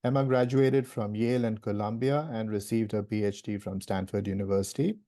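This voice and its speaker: A man's voice: on the low side, fairly even intonation, a little fast, warm tone. A man hosting an event, introducing a guest speaker in a partly read-out style.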